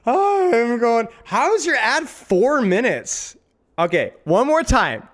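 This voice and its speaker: A male streamer in casual live commentary with a high, very animated voice. He talks slowly and rambles, sounding exasperated and making fun of himself. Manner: exasperated, self-mocking, rambling.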